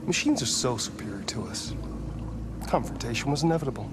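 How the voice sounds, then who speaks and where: An actor playing a calm, provocative male genius inventor in a game cutscene, speaking in a languid, philosophical way with soft taunts. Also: medium pitch, fairly quick, background noise, natural voice. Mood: musing. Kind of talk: video game cutscene acting.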